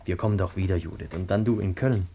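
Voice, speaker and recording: An actor playing an eager, reassuring young lover in a naturalistic German radio drama, speaking tenderly and urgently. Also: male voice, low-pitched, fast talker, mellow, husky. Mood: tender, excited. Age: young adult.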